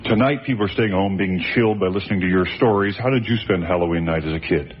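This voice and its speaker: Low-voiced male radio host. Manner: courteous, with short uh pauses. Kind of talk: broadcast interview questions. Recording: muffled broadcast recording.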